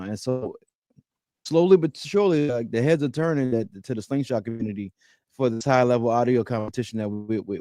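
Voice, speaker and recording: A man's voice: mid-range voice, a little mellow, no room sound. A man hosting a livestream podcast in casual chat, emphatic and repeating words as he gets going. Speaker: podcast host.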